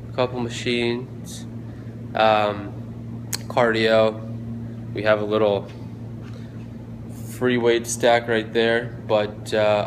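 A young man talking casually into a phone camera he holds at arm's length, chatting about his fitness routine and food prep in a relaxed, motivational way. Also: on the low side, noisy background. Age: young adult. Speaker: fitness vlogger. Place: condo gym, then home kitchen.

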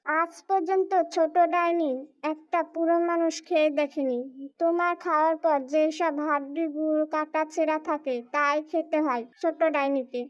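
A woman voicing a clever village child in an animated fairy tale, with a childlike manner. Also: high-pitched, moderate pace, dull audio, natural voice. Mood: sly, challenging.

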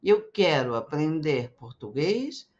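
A middle-aged woman teaching Portuguese, repeating short phrases slowly and with careful articulation for learners. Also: low-pitched, big pitch swings, a little mellow, muffled recording. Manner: slow, carefully articulated repetition. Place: indoors, webcam in a circle overlay.

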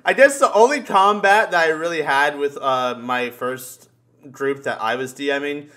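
A young man in casual conversation, joking and swearing freely in an animated way. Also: a little high, a little slow. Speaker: podcast host. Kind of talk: casual chat. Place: indoor room on a group video call.

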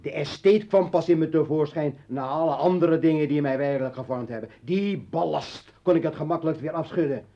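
An actor playing a bitter, militant revolutionary and former journalist in a radio play, ranting and taunting in halting bursts. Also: male voice, on the high side, natural voice. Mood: bitter, insistent. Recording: dull vintage radio drama recording.

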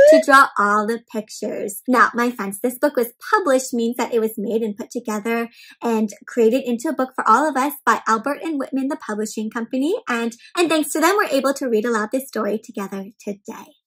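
A young woman with a high voice hosts story time for little kids. She speaks in an animated, expressive way, pausing to ask the children questions as she reads. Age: young adult. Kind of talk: interactive read-aloud for young children. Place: small indoor room at home with bookshelves and plants.

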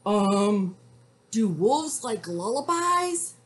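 A woman's voice: mid-range voice, sing-song, drawn-out words, pitched above the performer's own voice. A woman voicing an easily frightened storybook cowboy in an exclamatory, questioning way. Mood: unsure, reasoning.

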